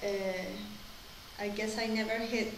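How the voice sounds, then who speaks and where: A woman's voice: mid-range voice, level tone, unhurried. A woman in her twenties whose first language is not English, giving casual, blunt, sweary reaction commentary. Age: 20s. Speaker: host of TV show reaction videos. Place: small room with a plain wall. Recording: echoey room with clear background noise.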